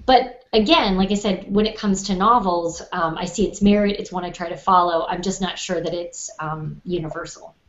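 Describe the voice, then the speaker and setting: A woman with a lowish voice talks in an echoey room on dull audio. She is a podcast panelist, measured as she qualifies each point. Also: steady tone. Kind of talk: casual discussion of fiction writing.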